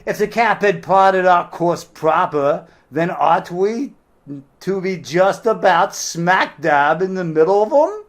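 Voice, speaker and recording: A man puts on a salty sailor of the age of sail, pitched up and slow to speak. He relishes grim yarns of the sea, as if read from a storybook. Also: animated, full character voice. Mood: salty yarn-spinning. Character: unshaven old-time sailor, salty and grimly relishing his tales. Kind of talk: novel read aloud.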